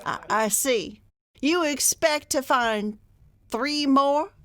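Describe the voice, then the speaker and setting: A woman's voice: sits high, slow pace, a bit bright, no room sound, studio quality. A woman playing a fussy, bossy, skeptical wife in an old-time radio comedy, fretful and emphatic.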